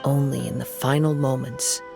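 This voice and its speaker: A man voicing a calm, gentle young wandering samurai in a video game, soft-spoken, measured and polite. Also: mid-range voice, vocal fry, close to an everyday voice. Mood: somber, reflective. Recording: music behind the voice.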